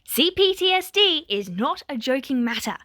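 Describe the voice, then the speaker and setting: A woman's voice: sits high, big pitch swings, normal speed, a bit bright, no echo, studio quality, voice pushed up. A woman voicing a composed young woman held hostage, a protective big sister, polite but firm with emotion held back. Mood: defiant. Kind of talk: dramatic fan fiction reading.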